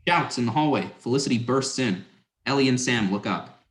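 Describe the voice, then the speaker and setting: A man's voice: mid-range voice, animated, a little fast. A man narrating stage directions in a scripted dramatic reading. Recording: roomy, echoing sound.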